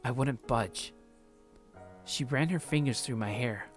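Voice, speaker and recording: Let's play host, mid-pitched voice, expressive visual novel reading, slangy asides, game music behind. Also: measured pace. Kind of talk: dramatic read-aloud of a visual novel with casual commentary.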